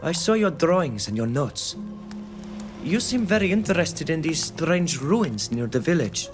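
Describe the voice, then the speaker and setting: A man's voice: a little high, sing-song, measured pace. A man playing a resolute, curious young islander villager in a video game, speaking plainly and earnestly. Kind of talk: video game dialogue acting. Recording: music playing under the voice.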